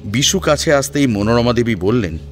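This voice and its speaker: A man's voice: medium pitch, expressive, moderate pace. A man reading a scripted story as a dramatic narrator. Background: background music.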